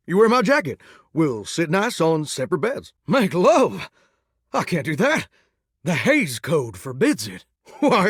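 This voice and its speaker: A cocky 1950s rock-and-roll crooner who happens to be a faucet, for a video game. He has a high-pitched, very animated voice with a hammy Southern American drawl. The recording is dry and close. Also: husky, dry recording. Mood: coy, prim. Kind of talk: theatrical game voice acting.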